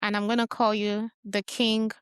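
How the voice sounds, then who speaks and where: A woman's voice: medium pitch, flat delivery, moderate pace, smooth, no room sound. A woman in a mid-range voice hosts a podcast interview. She introduces her guest and asks questions in a friendly, easy manner. The sound is dry and close. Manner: friendly, laughs often, reads intros. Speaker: podcast host.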